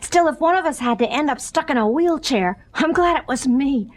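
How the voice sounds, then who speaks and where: A woman's voice: high-pitched, animated, normal speed. A woman voicing an eager ten-year-old boy in a 1970s audio drama, talking with breathless, wide-eyed enthusiasm. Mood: starstruck.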